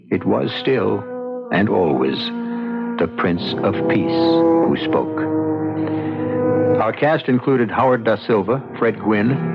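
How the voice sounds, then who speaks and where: A man hosts a mystery radio drama in a low, deliberate voice that swings widely in pitch. His delivery is theatrical and full of pauses, over loud music. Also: gravelly, lo-fi sound. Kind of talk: scripted mystery anthology narration.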